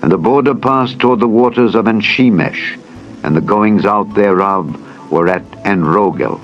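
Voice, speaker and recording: Deep-voiced man solemnly narrating scripture. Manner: solemn, measured, formal. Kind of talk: dramatized scripted Bible narration. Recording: dull lo-fi audio with music behind. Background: background music.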